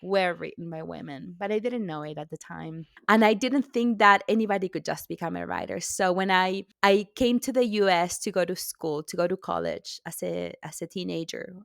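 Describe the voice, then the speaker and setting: Middle-aged author guest, from Argentina, native Spanish speaker; low, gravelly, chatty, restarts often. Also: female voice, a bit bright, dry recording, studio quality. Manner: chatty, laughs often, self-correcting restarts. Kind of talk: podcast interview.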